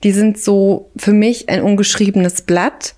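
A woman in her twenties from the Ruhr area, a kindergarten teacher, speaking thoughtfully with filler pauses. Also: mid-range voice, flat delivery, a little mellow. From Ruhr area, Germany. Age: 20s. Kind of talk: interview answers.

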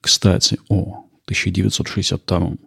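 A man presenting scripted documentary narration with a husky, gravelly voice. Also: deep voice, level tone, brisk, fairly bright tone, dry recording, studio quality.

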